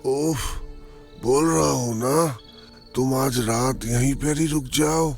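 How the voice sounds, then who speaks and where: A man's voice: mid-range voice, slow, gravelly, a little mellow, soft background music. A man playing a mysterious, insistent older village uncle in a cartoon horror story, with a commanding, ominous manner. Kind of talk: acted Hindi cartoon horror dialogue.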